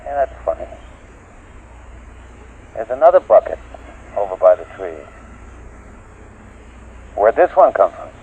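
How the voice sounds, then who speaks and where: An actor playing a field surveyor on a space expedition in an old-time radio drama, in a tinny recording. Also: male voice, fairly low pitch, very animated, a little slow, long pauses, husky, full character voice. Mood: relaxed, puzzled. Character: laid-back spaceman field surveyor, turning to terrified victim.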